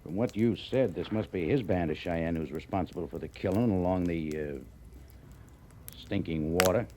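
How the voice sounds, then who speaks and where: An actor playing a brisk, skeptical cavalry major in a 1950s radio western, with an officious, dismissive manner. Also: male voice, low-pitched, darkish tone, close to an everyday voice. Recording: old radio recording with background noise.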